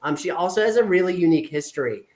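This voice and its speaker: A man's voice: high voice, expressive, rapid-fire, a little mellow. A man from a nonprofit team, chatting casually and often repeating words as he starts sentences. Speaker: nonprofit staff member. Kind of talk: casual video-call chat. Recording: echoey room.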